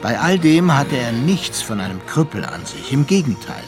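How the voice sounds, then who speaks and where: Measured male radio drama narrator over music. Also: mid-range voice, very animated.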